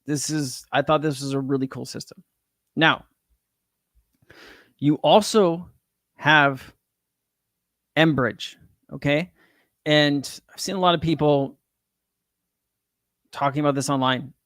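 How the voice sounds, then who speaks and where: A man from California with a hoarse voice, casually explaining crypto payment systems as a channel host and often restarting mid-sentence. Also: a little high, steady tone, slow, long pauses, a bit bright, dry recording, studio quality. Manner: rambling, restarts, reads from screen. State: hoarse, losing his voice.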